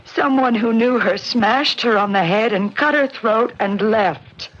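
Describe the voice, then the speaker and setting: A young, defiant daughter in a vintage radio mystery, pressing her questions with determination. Her voice is fairly high and husky. The old recording is muffled. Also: a little slow, fairly bright tone. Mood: determined. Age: young adult. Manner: insistent, pointed questioning. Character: determined, defiant grown daughter seeking her mother's killer.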